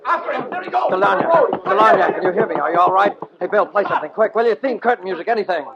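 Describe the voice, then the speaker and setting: A man's voice: sits high, lively intonation, fast, highs cut off, heavy background noise. A man performing in the manner of 1940s radio drama, on an old broadcast recording. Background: music.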